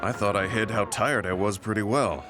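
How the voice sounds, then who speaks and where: A man playing a middle-aged, guilt-ridden veteran soldier in a video game, speaking in a measured, earnest way. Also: fairly low pitch, steady tone, lightly acted. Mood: gentle, reflective. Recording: studio voice under loud game music.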